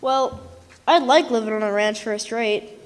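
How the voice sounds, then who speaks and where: Teenage orphan boy played by a woman, high voice, sincere and unsure, western radio play in an echoey hall. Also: a little slow, close to an everyday voice. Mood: hesitant, earnest. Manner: hesitant, earnest. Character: Pete, earnest hesitant fourteen-year-old orphan boy. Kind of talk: old-time western radio drama acting. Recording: echoey hall, live stage performance.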